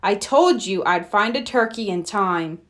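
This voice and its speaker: A woman's voice: mid-range voice, expressive, unhurried, close to an everyday voice. A woman voicing an eager, pleading schoolboy from a children's storybook, trying to persuade his friends. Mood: reassuring.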